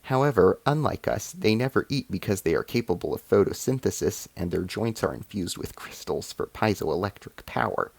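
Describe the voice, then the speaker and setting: A man's voice: lowish voice, lively intonation, moderate pace, gravelly, few pauses, a little mellow, husky, dry recording, exaggerated voice. A man voicing a haughty alien female ambassador in a story, explaining and commanding with cold menace. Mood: cool boasting.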